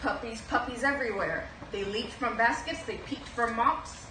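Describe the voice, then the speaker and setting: A young adult woman reading a children's picture book aloud with expressive delivery, recorded from a camera in a small room. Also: fairly high pitch, moderate pace. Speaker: animal shelter kennel technician reading aloud. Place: small indoor shelter room. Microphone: camera a few feet away. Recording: roomy, noisy, distant camera microphone.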